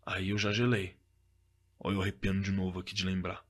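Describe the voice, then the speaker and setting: A man playing a shaken witness in an audio drama, recounting a frightening encounter in a halting, stammering, conversational way. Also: low voice, little pitch movement. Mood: shaken, haunted. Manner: halting, stammering, repeating himself.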